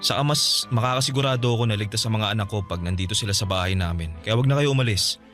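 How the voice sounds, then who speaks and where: A man's voice: fairly low pitch, fast, a bit bright, soft background music, close to an everyday voice. A Filipino actor playing a pleading, self-serving boyfriend and father in a radio drama. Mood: insistent. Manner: coaxing, insistent. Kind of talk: Tagalog radio drama acting.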